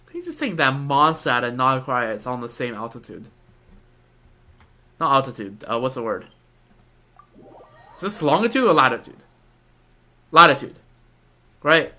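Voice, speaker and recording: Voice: mid-range voice, sing-song, measured pace, long pauses, bright, forceful tone. A game streamer chatting casually, thinking aloud in short reactions over game audio. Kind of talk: casual livestream commentary.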